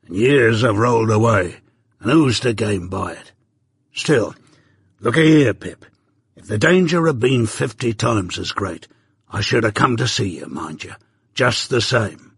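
A man voicing a gravelly old rough-spoken ex-convict. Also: fairly low pitch, expressive, a little slow, no room sound, clean studio sound, exaggerated voice. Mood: confiding. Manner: broad rustic dialect, blustering then hushed. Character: rough old male ex-convict, gruff, doting. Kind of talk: audiobook character voice.

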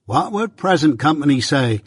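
A man voicing a simple, kindly country working man who speaks slowly and haltingly with rustic grammar in a storybook. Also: fairly high pitch, sing-song, slightly rough, dry recording, clean studio sound, voice pushed up. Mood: quietly delighted.

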